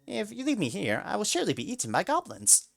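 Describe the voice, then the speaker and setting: Guy doing a sneaky mouse creature, begs then gloats, high and bouncy. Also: wildly swooping pitch, quick pace, vocal fry, exaggerated voice. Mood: pleading. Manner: pleading and overly polite, then gloating. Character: sly talking mouse, becomes a hungry griffin.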